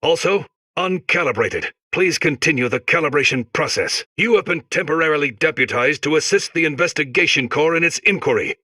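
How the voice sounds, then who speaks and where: Stern, bright-voiced robot investigator. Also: male voice, a little high, lively intonation, brisk, no echo, clean studio sound. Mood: stern, officious. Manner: clipped, formal, declarative. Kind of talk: comic video game character acting.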